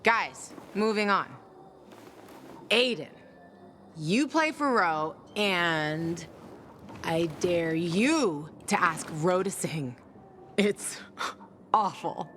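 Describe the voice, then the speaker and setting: Tough bitter game heroine, lowish voice, blunt and dry, slow, noise in the background. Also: female voice, lively intonation, a bit bright, exaggerated voice. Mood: dismissive, sardonic. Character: hard-bitten, guarded, sardonic young woman, bitter avenger. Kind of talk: video game character acting.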